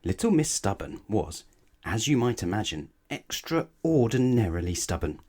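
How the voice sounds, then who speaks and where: A man with a low voice reads a picture book aloud for children. His delivery is expressive, in the manner of a bedtime storyteller. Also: moderate pace. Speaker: storybook reader.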